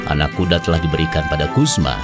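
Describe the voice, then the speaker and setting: A man's voice: very deep voice, fast talker, loud music behind the voice. A man narrating a children's cartoon story as a scripted storyteller.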